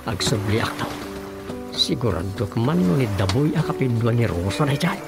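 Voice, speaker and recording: Creaky-voiced, chatty Ilocano townsman. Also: medium pitch, lively intonation, moderate pace, over loud music. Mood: puzzled. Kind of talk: Ilocano radio drama acting.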